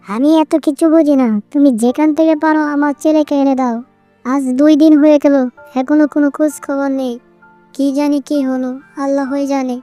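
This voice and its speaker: A woman's voice: sits high, fairly even intonation, a little fast, dark tone, dry recording, faint music behind, lightly acted. A woman voicing small female characters in a children's cartoon, such as a fairy or a little bird. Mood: worried, annoyed.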